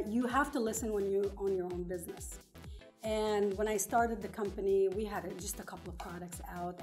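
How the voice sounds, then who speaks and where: A woman's voice: medium pitch, darkish tone. Interview guest: woman, middle-aged, from Lebanon, Arabic and French native. Conversational storyteller who acts out bits of dialogue, faint music underneath. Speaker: interview guest, engineer turned small business owner.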